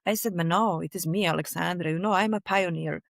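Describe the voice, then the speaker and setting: A middle-aged woman with a Serbian accent, speaking animatedly in an interview and often pausing to search for words. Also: fairly low pitch, gravelly, no echo, studio quality. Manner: animated, searching for words, frequent "you know". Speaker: guest, fascia researcher and author. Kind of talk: conversational interview.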